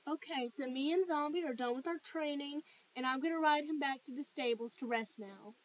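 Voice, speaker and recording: A woman's voice: high-pitched, fairly quick, loud music behind the voice, poor audio. A woman hosting a video in a casual role-play vlog style, talking to her viewers. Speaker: video host.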